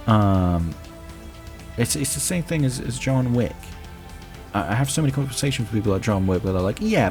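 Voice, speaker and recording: A man hosting a show, talking casually and haltingly through a film countdown with blunt, emphatic opinions. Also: low voice, darkish tone. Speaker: show host.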